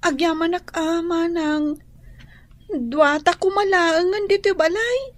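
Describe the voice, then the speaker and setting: A timid schoolgirl in an Ilocano radio drama. Her voice is extremely high and slow, with an Ilocano accent. She sounds trusting and fearful, recorded close and dry. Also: dry recording. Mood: sad, pleading.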